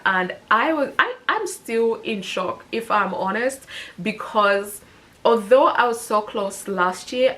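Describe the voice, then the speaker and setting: A woman in her thirties from the UK, talking casually to camera as a personal finance vlogger. Her voice sits at a middle pitch. She is chatty and upbeat, and often corrects herself as she goes. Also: a bit bright, a little hiss or hum. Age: 30s.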